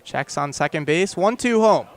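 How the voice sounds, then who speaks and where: A young man calls baseball live on the radio in a high-pitched voice. He speaks in short, clipped phrases and builds to excited calls as the play develops. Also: moderate pace, smooth. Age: young adult. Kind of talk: live radio sports commentary.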